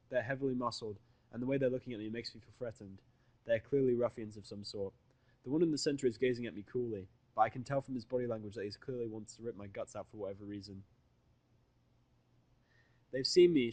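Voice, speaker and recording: A man's voice: medium pitch, dry recording. A man reading first-person, present-tense fantasy fan fiction as the narrator.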